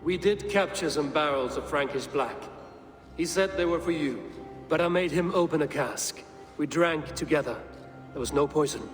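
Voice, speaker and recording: A man playing a calm, measured Viking warrior. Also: a little high, soft background music, lightly acted. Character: steady male Viking warrior, loyal and measured. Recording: game audio with faint score, long reverb.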